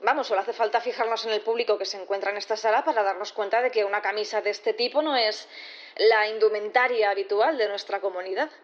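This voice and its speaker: A woman's voice: mid-range voice, steady tone, fast talker, lo-fi sound, lightly acted. A woman acting as a composed, probing prosecutor in a sci-fi courtroom audio drama, formal, methodical and insistent. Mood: insistent, accusing. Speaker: actor in audio fiction.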